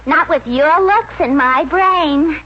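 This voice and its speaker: A woman's voice: high voice, takes it slowly. An actress playing a naive, cheerful American office girl with ditzy self-assurance in a 1950s radio comedy. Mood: chirpy, confident. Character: scatterbrained, cheerful young office girl named Gladys. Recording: muffled, hissy old radio recording.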